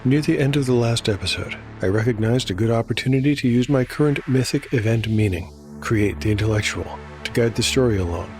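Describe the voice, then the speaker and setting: A man hosting and narrating a solo tabletop game as a dark fantasy audio drama, measured and grave, with dice-roll commentary. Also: medium pitch. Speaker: host and solo game master. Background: music.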